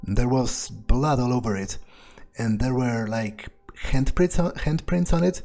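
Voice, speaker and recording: A man narrates his game playthrough in character with a dark, relaxed voice of middling pitch. He muses aloud casually and haltingly, with faint music underneath. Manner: musing, casual, hesitant. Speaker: gamer playing in character.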